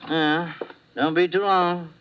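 High-voiced, nervous night watchman. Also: steady tone, slow pace, over loud music. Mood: uneasy, obliging. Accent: American. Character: nervous but obliging male night watchman. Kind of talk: 1950s radio drama acting. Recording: muffled, hissy vintage radio recording.

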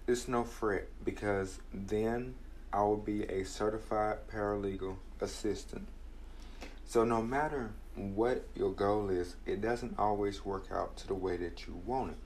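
A man's voice: on the low side, slight background noise. A young man in his twenties giving an earnest, reflective motivational talk about his own struggles. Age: 20s. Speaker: podcast host.

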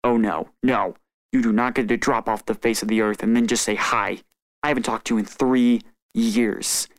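A man's voice: fairly low pitch, fairly even intonation, normal speed, no room sound, close to an everyday voice. A man voicing a groggy, exasperated woman who has been woken by a phone call.

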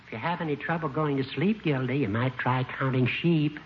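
An actor playing a fussy, meddling old judge, chirpy and officious, in a 1950s radio sitcom. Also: male voice, medium pitch, a little fast, natural voice. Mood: obliviously cheerful. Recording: echoey, noisy, muffled 1950s radio recording.

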